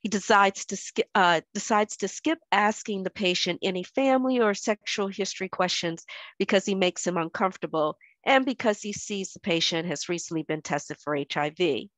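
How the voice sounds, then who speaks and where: A woman with a head cold and a stuffy voice, presenting a workshop scenario over a video call in a measured way. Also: mid-range voice, no room sound. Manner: measured, reads the scenario aloud with pauses.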